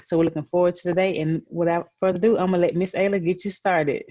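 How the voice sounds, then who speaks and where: A woman's voice: fairly low pitch, fairly even intonation, fast talker, mellow, no echo, lo-fi sound. A woman hosting an online program for young girls, speaking in an encouraging, announcer-like way. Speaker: host of a girls' group virtual story time.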